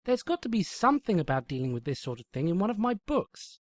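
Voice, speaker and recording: A woman voicing an indignant, easily exasperated female pony princess in a shrill, exclamatory cartoon manner. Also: big pitch swings, quick pace, dry recording, studio quality. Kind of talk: cartoon fan-fiction voice acting.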